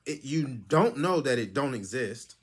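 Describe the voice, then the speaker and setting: A man's voice: mid-range voice, lively intonation, unhurried, slightly rough. A man hosting his own channel, giving a lecturing monologue that is full of rhetorical questions. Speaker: channel host. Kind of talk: preachy monologue commentary.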